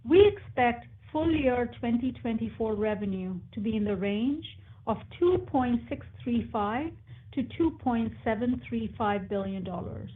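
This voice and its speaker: A woman's voice: fairly high pitch, expressive, measured pace, slight background noise. A woman finance executive formally reading prepared financial guidance in a precise way. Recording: narrow phone-like conference call line.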